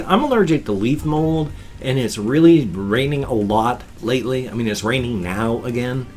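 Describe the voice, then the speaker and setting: A man in his fifties with a stuffed-up, wheezy voice, rambling casually to a webcam in a small room at home. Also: medium pitch. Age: 50s. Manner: animated, gestures constantly with both hands. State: congested and wheezy. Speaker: vlogger. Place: small home room full of toys and collectibles. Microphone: webcam framing at desk.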